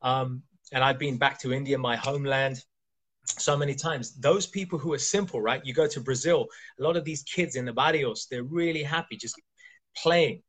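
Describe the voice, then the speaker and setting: Husky man asking emphatic rhetorical questions. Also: mid-range voice, moderate pace, fairly bright tone, low-quality recording. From Kenya. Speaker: coach and podcast host. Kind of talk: casual interview chat.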